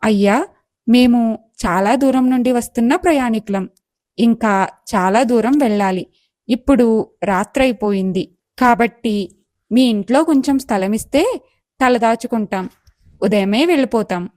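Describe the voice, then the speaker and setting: A woman playing a wise, patient elder goddess in a moral story, calm, instructive and reassuring. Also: fairly high pitch, a little slow, long pauses, no echo, natural voice. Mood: polite. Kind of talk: acted moral story dialogue.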